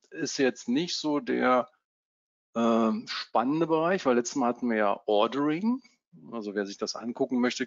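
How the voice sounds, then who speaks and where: Casual middle-aged man on lo-fi webinar. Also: mid-range voice. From Germany (Berlin). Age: 50s. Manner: casual, friendly, many fillers. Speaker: trading software coach. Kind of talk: live webinar presentation. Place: home office.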